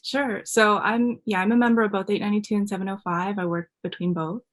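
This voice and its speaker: A woman's voice: medium pitch, fairly even intonation, fast. A woman speaking eagerly and earnestly as a panel guest.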